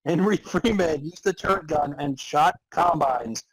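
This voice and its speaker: A man's voice: fairly high pitch, measured pace, husky, no room sound, rough recording. A man narrating a comic story in a theatrical way, prone to breaking into laughter. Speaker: narrator and podcast host. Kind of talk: dramatic reading of comic fan fiction.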